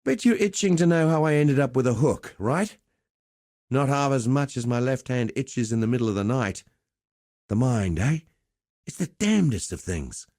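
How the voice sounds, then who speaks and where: An actor playing a roguish, cheerful veteran pit fighter in a video game, talking in a colloquial, wry, swaggering storyteller's way. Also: male voice, mid-range voice, mellow, no echo, clean studio sound, lightly acted. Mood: wry, reflective. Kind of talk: scripted game dialogue.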